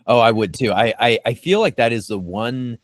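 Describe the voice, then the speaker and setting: A man's voice: medium pitch, expressive, moderate pace, no echo, clean studio sound. A man co-hosting a podcast in casual fan discussion, enthusiastic and rambling, repeating words as he goes. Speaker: podcast co-host.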